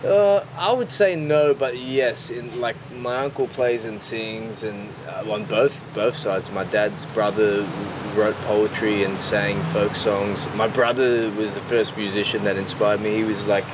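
Low-voiced Australian man, noisy recording. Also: steady tone, unhurried, few pauses. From Newcastle, Australia. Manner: informal, rambling, frequent "like" and "you know". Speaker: musician, interview guest. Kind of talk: casual conversational interview.

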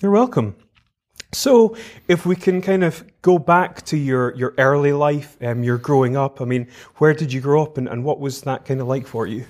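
A man's voice: medium pitch, moderate pace, a little mellow. A man hosting an interview podcast, polite and encouraging toward his guest. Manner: polite, encouraging, frequent affirmations. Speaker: podcast host.

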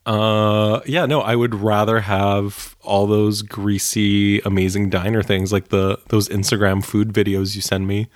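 A man co-hosting a podcast, chatting casually with quick, wry asides. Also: lowish voice, level tone, no echo, studio quality. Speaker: podcast co-host. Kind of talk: casual chat.

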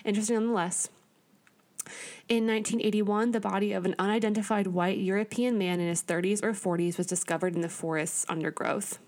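A woman's voice: mid-range voice, fairly even intonation, brisk, darkish tone. A woman co-hosting a podcast, reading through researched notes in a casual, earnest way with small stumbles and asides. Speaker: podcast co-host.

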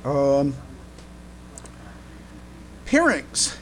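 A man hosting a casual podcast discussion, speaking haltingly and repeating words, over a noisy internet call. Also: medium pitch, wildly swooping pitch, a bit bright. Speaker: podcast host.

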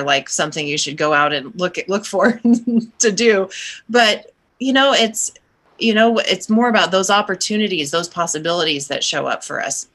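A woman hosting an interview as a coach, talking in an encouraging, reflective way and drifting lower for asides. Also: bright, forceful tone. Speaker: podcast host, coach. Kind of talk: conversational interview.

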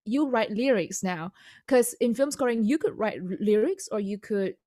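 A woman's voice: mid-range voice, warm tone, no echo. Indonesian-native woman chatting in english, lots of ums and restarts, dry close sound. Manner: halting, many fillers and restarts, laughs often. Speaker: guest composer. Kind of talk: casual interview.

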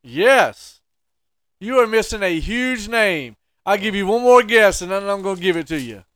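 A man's voice: sits high, lively intonation, moderate pace, a bit bright, clean tone, no room sound. A man co-hosting a podcast, chatting casually and making his points in an emphatic, teasing, opinionated way. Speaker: podcast co-host. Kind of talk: casual sports-entertainment debate chat.

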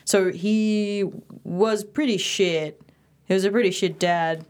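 A woman's voice: medium pitch, slow, darkish tone. A young woman from Bali, whose first language was Indonesian, speaking as an interview guest in a chatty, casual way with many fillers and restarts. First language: Indonesian and Balinese.

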